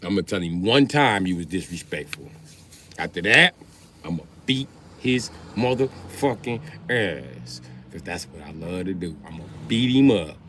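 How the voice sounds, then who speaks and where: A man's voice: low-pitched, expressive, a little slow, fairly bright tone, slight background noise. A middle-aged man livestreaming a profane, boastful comedic rant.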